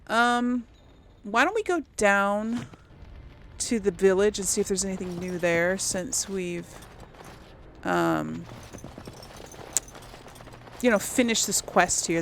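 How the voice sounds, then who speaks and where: A woman's voice: fairly low pitch, gravelly, slight background noise. A woman hosting a casual game playthrough, thinking aloud in a hesitant, musing way. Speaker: gaming video host.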